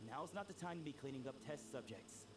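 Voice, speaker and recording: An actor playing a nervous young accomplice who asks fretful questions. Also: male voice, mid-range voice, animated, a little fast, close to an everyday voice. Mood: anxious. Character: nervous young male accomplice, anxious and hesitant. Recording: game audio with background noise.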